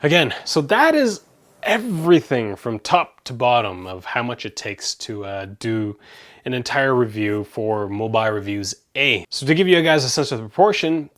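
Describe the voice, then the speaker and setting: A man's voice: medium pitch, expressive, moderate pace, a bit bright. A young man from Canada, hosting his own tech review channel, talking casually to camera with lots of fillers and self-corrections. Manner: chatty, rambling, frequent self-corrections and fillers.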